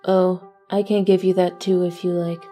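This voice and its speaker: A woman voicing a deadpan, literal-minded female earth pony in a cartoon-style fan audio drama, speaking matter-of-factly. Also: on the low side, moderate pace, soft background music, close to an everyday voice. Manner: deadpan, flat, matter-of-fact.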